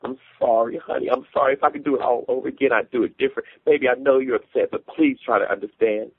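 A man's voice: medium pitch, fairly even intonation, fast, slightly rough, dry recording, phone-quality sound, voice pushed up. A man voicing a distraught Southern wife and mother who pleads and whines in rural dialect. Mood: apologetic.

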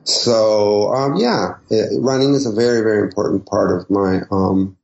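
A man's voice: fairly low pitch, moderate pace, creaky, darkish tone. A man in his fifties from San Diego, a native Spanish speaker, talking as a poet guest in a casual interview, hesitant with many ums and restarts. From San Diego, California. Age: 50s. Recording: echoey lo-fi remote line.

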